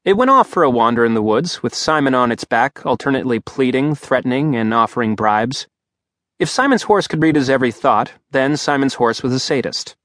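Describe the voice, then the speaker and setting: A man's voice: medium pitch, dry recording. Wry male audiobook narrator. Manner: dry, wry storytelling. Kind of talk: scripted narration. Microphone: studio microphone.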